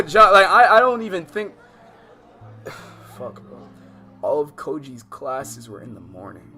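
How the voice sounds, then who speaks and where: A man's voice: a little high, very animated, measured pace, sharp tone. A man livestreaming a visual novel, mixing casual, slangy, swearing chat with reading game text aloud, often exasperated. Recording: music behind the voice. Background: game music.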